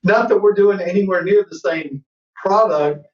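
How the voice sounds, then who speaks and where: A man in his fifties, a guest who hosts an entertainment show, telling rambling, excited stories in casual chat over a video call. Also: high-pitched, moderate pace. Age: 50s. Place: indoor room. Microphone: far camera on a video call. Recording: roomy, distant, muffled video-call audio.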